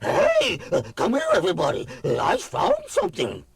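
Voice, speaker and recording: A man voicing a goofy cartoon dog detective with a rough, gravelly voice in an audio drama. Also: high voice, wildly swooping pitch, normal speed, no echo, studio quality, heavily acted. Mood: excited.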